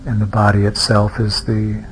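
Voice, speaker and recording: Low, even-voiced man guiding meditation. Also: poor audio. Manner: unhurried, with long pauses and hesitant restarts. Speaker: meditation teacher. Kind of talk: guided meditation. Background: music.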